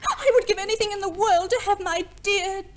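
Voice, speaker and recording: An actress playing a vain, self-pitying young society wife in an old radio play, with an affected, gushing, dramatic delivery. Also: female voice, very high voice, fairly quick, slight background noise. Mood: wounded, melodramatic. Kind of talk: 1940s-50s radio play acting.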